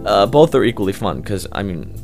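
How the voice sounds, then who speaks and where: A man with a fairly low voice answers interview questions as a hobbyist voice actor. He talks in an informal, rambling, enthusiastic way, unscripted. Soft guitar music plays behind him. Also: sing-song, brisk, creaky. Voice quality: loud voice. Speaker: amateur voice actor being interviewed.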